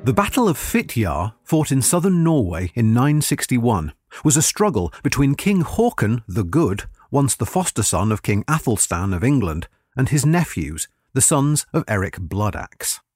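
A man's voice: mid-range voice, sing-song, normal speed, darkish tone, slightly rough, no room sound, clean studio sound. A man reading a scripted history article aloud as a narrator.

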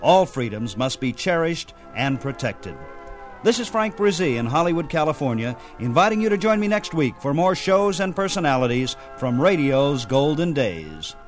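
A man's voice: on the high side, sing-song, normal speed. A man with an American accent hosting a radio show with scripted announcements. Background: music.

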